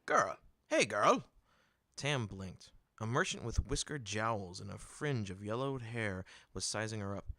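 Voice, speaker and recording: A man reading a novel aloud in an expressive storytelling manner, close to the microphone. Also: on the low side, unhurried, hardly any pauses, no room sound. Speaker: audiobook reader. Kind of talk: scripted fiction narration. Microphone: close microphone, dry room.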